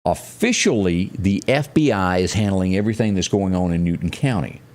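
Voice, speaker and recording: A man hosting a live local news talk show, speaking in a halting, folksy way and repeating phrases for emphasis. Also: sits low, expressive, a little mellow. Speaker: morning show host.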